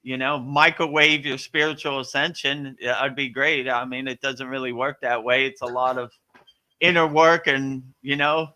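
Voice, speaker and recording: A man hosting a live spiritual question-and-answer session, talking in a relaxed, rambling way with many fillers. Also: medium pitch, normal speed. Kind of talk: casual spiritual Q&A.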